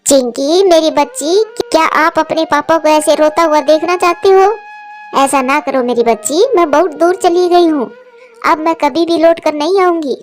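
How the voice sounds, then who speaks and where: A woman voicing a gentle, loving mother bird with a patient, soothing manner in a cartoon story. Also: high voice, normal speed, soft background music, natural voice. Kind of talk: Hindi cartoon story acting.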